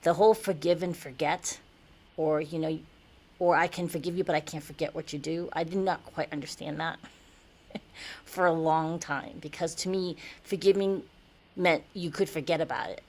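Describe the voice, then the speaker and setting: A woman's voice: deep voice, fairly even intonation, moderate pace, darkish tone. A woman hosting a podcast interview, born in Taiwan, asking questions in a conversational way and sometimes restarting her sentences mid-thought. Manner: enthusiastic scripted intro. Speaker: podcast host.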